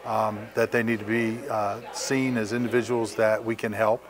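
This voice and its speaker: A man in his fifties, a police captain, giving halting, measured interview remarks. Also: lowish voice, level tone, music in the background. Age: 50s. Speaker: police captain, crisis team coordinator.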